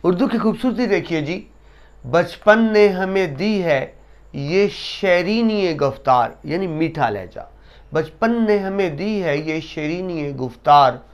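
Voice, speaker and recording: A man giving a lesson to students, explaining essay writing and reciting couplets slowly with emphasis. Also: a little high. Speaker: teacher.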